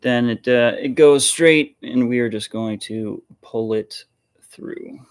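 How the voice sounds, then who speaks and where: A man casually hosting a hands-on demonstration, talking haltingly and muttering to himself as he works. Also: mid-range voice, clean tone. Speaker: vlog host, maker showing designs.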